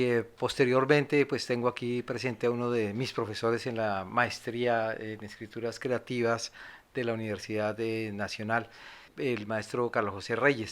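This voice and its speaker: A Colombian man speaking as a theatre director on a panel, in a halting, deliberate and reflective way with many pauses. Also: mid-range voice, a bit bright. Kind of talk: reflective spoken introduction.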